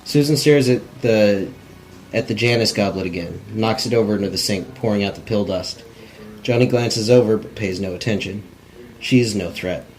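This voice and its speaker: Low-voiced man reading stage directions. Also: normal speed, darkish tone, rough recording. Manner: plain, stumbles over words. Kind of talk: scripted narration, amateur cold read. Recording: faint background noise.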